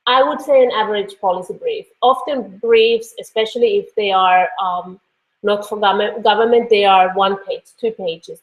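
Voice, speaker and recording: Finnish woman lecturing on a video call, chatty, lots of uhs and restarts, roomy echo. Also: medium pitch. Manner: conversational, many fillers and restarts. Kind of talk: online course lecture. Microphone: computer mic on a video call. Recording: echoey room.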